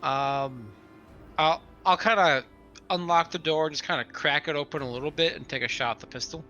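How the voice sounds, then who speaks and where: A man's voice: fairly high pitch, moderate pace, bright, forceful tone, highs cut off. A man chatting casually as a player in a tabletop roleplaying game. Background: background music.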